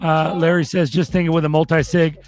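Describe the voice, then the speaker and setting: A man co-hosting a crypto stream, his voice sitting a bit high. He reads chat questions and answers them bluntly with plenty of swearing, over faint music. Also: flat delivery, a little fast. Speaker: co-host. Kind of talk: casual crypto livestream chat.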